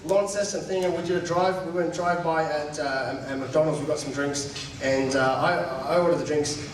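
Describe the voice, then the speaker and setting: A young Australian man with an Australian accent performing an animated stand-up comedy set, slipping into voices of the people in his stories. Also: on the high side, normal speed, slight background noise. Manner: animated storytelling, mimics voices of mum and others. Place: large hall with a live audience. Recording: echoey hall, miked from far off, audience.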